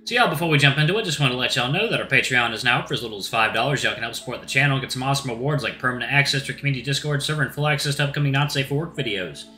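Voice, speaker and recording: A man hosting a Let's Play and reading a visual novel aloud, casual and slightly hoarse from being sick. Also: medium pitch, racing, few pauses, pushed and bright. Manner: casual, says y'all, restarts lines. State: sick, voice deeper than usual. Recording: roomy with faint music.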